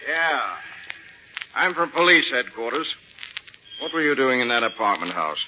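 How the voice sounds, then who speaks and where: Brusque, animated radio cop. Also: male voice, medium pitch, unhurried, muffled recording, exaggerated voice. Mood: suspicious. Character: brusque, no-nonsense city police officer. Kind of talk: 1940s radio drama acting. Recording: noisy old radio recording with clicks.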